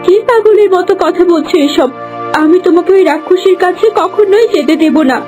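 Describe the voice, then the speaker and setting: A woman's voice: extremely high pitch, brisk. A woman voicing a devoted, defiant young heroine in a fairy-tale cartoon, earnest and protective. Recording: music behind the voice.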